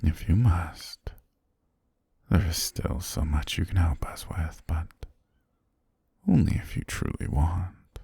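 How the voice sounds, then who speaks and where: A man playing a calm, possessive governor soothing his pet in an ASMR roleplay, breathy and half-whispered, slow, gentle and softly commanding, very close to the mic. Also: deep voice, no room sound, exaggerated voice. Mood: reassuring, possessive. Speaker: ASMR voice actor. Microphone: very close, dry microphone.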